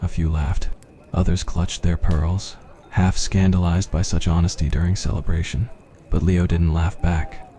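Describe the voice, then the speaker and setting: A man's voice: low-pitched, level tone, a little slow, hardly any pauses, mellow. A man reading a scripted fantasy roleplay as ASMR story narration.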